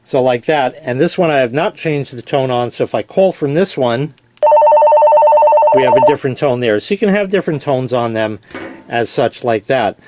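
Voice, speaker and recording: A man's voice: medium pitch, expressive, moderate pace, noisy background. A man giving a casual, plain-spoken product demonstration, explaining as he goes and correcting himself. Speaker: product reviewer. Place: indoors in a basement.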